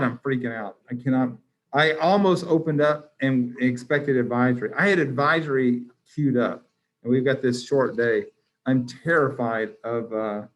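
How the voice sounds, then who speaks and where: A man's voice: mid-range voice, rough recording. Joking, teasing male teacher. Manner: joking, teasing, many restarts. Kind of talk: casual class chat on a video call. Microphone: video call.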